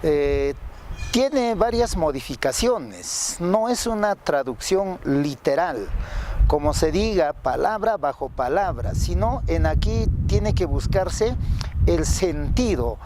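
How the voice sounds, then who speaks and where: A Peruvian-accented man explains in a careful, teacherly way. His voice is high for a man and his pace is slow, with slight noise in the background. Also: expressive, warm tone. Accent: Peruvian Spanish. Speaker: translator. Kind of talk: explanatory interview.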